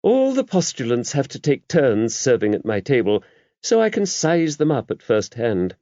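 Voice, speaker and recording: A man voicing an older abbess in an audiobook, a shrewd, gently wry woman who talks in a brisk, genteel, confiding way. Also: mid-range voice, huge pitch swings, no room sound, pitched above the performer's own voice. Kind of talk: audiobook character voice. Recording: dull, muffled lo-fi audio.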